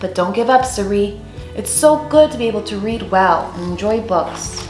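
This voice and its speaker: A young woman voicing a kindly, encouraging old auntie from a storybook, with gentle, expressive delivery. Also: medium pitch, normal speed, lightly acted. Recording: roomy echo, faint music underneath.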